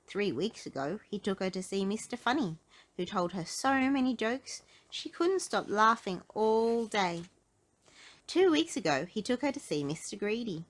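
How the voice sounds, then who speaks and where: Animated woman reading a children's story. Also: medium pitch, normal speed. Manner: dramatic, animated delivery with emphasis. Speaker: storybook narrator. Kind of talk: expressive read-aloud children's storytelling.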